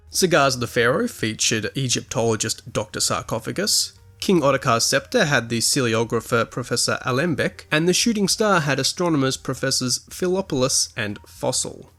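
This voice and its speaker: Male podcast narrator, mid-pitched and expressive, articulate scripted essay delivery with dry asides. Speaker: podcast host.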